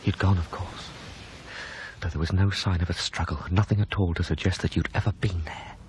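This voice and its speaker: A man playing a broken, grieving widower in a radio drama monologue, hushed and halting with sorrow. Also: deep voice, steady tone, a little hiss or hum, close to an everyday voice. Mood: bereft. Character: grieving, broken widower narrating his lost wife.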